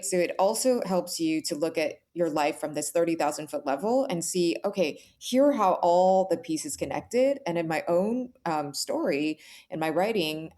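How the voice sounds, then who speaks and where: Reflective woman with a lowish voice. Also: darkish tone. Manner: earnest, reflective, often seeks agreement with "right?". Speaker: author guest on a podcast. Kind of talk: conversational interview answers.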